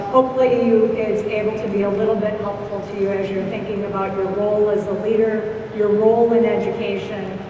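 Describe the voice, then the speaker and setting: Woman, keynote speaker, relaxed talk, long reverb, noisy hall. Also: mid-range voice, muffled recording. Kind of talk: keynote address. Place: large hall with round tables. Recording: echoey hall, distant mic, background noise.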